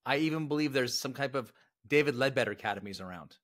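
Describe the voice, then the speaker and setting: A man's voice: medium pitch, expressive, fast talker, a bit bright. Brash, jokey male podcast host. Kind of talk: podcast interview hosting.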